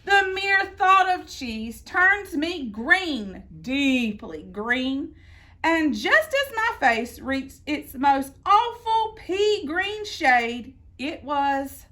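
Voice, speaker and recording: A woman reading a children's picture book aloud in an expressive, theatrical way, drawing out words for emphasis. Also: sits high. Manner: theatrical, deliberate phrasing with drawn-out emphasis. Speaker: storybook reader.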